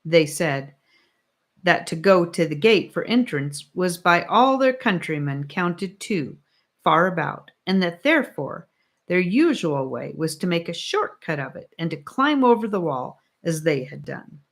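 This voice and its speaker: A woman narrating an old religious allegory as an audiobook, with measured, solemn storytelling. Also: on the low side, big pitch swings. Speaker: audiobook reader.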